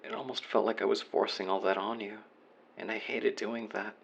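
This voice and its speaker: A man's voice: fairly low pitch, level tone, normal speed, vocal fry, a bit bright, husky, heavily acted. A man playing a remorseful young man leaving an apologetic voicemail to his former best friend, earnest and confessional in an audio roleplay monologue. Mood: regretful, subdued.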